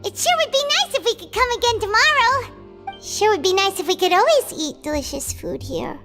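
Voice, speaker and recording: A woman voicing a tiny, excitable floating female companion who chirps and talks about herself in the third person. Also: extremely high pitch, moderate pace, full character voice. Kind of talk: video game voice acting. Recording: game audio with reverb and music.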